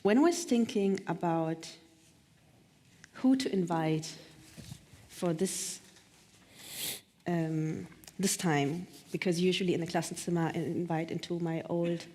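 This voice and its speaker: A woman with a slightly low voice hosts a live stage interview. She thinks aloud as she goes, choosing her phrasing tentatively. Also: a little slow, pauses often, dark tone. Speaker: talk host. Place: live stage event at a theatre.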